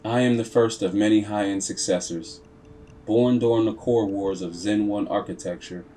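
A man narrating a scripted story in the first person as a grave, proud machine character, solemn and deliberate, with dramatic pauses. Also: fairly low pitch, fairly even intonation, mellow, slight background noise. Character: computer processor telling its own story as a grave, proud character. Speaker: video narrator. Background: music.

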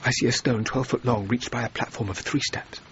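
An actor playing an earnest, self-doubting male architect in a radio drama, with a halting, thoughtful delivery. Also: mid-range voice, steady tone, fairly bright tone, muffled recording, slight background noise, close to an everyday voice. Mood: earnest, visionary. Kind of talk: BBC radio drama acting.

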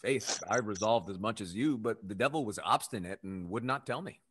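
A young man playing a suave, faithless gentleman rake in a Restoration comedy, glib and mocking. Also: lowish voice, fairly quick, a little hiss or hum, natural voice. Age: young adult. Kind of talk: theatrical period stage acting over video call. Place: dim indoor room, on video call.

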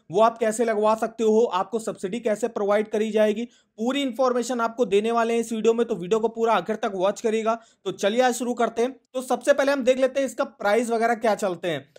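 A man narrating a screen-recorded tutorial, steadily walking through price tables and steps as the video's host. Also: very high voice. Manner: steady explanatory walkthrough, reads prices off screen.

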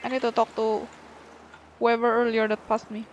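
A woman's voice: fairly high pitch, monotone, moderate pace, highs cut off. A woman giving casual gameplay commentary, musing to herself and exclaiming at what she finds. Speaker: gaming streamer. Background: soft game music.

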